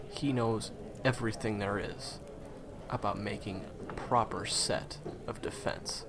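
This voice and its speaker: A man's voice: lowish voice, gravelly. A man playing a friendly, chatty guide to monster hunters in an audio roleplay, explaining things casually and reassuringly straight to the listener. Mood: casual, assuring. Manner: casual, hesitant asides, explanatory. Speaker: voice roleplay performer. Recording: crowd chatter behind the voice. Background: busy crowd ambience.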